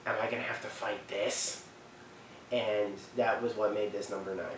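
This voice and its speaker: A man giving casual, unscripted countdown commentary as a video host, halting as he searches for words. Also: on the low side, level tone. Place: echoey room, away from the mic. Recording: very echoey, distant mic, faint noise.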